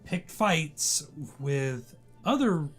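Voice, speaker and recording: Slow, deadpan young man groaning. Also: fairly high pitch, breathy edge, soft background music. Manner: groaning, deadpan reactions and wisecracks. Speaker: podcast co-host. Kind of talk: comedic banter.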